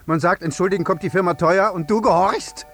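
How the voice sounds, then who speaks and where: An actor playing a grieving, vengeful father in a radio drama, speaking in a deliberate, accusing and repetitive way. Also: sits high, a bit bright, soft background music. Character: grieving, vengeful father confronting an air traffic controller.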